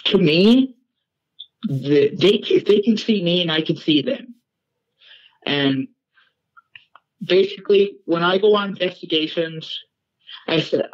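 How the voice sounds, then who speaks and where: A man giving casual interview answers as a guest, halting and plain-spoken. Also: on the high side, highs cut off. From born in Norway, raised in Newfoundland, Canada. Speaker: guest, paranormal investigator and medium.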